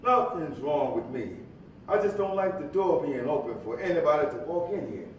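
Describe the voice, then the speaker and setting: A man plays a teasing, slightly jumpy preacher in a stage play, bantering in everyday dialogue. His voice sits fairly high. It sounds distant in a very echoey hall. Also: expressive, normal speed, highs cut off, lightly acted. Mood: edgy. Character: famous civil rights preacher, playful, teasing, slightly jumpy. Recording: echoey hall, distant, faint rumbling noise.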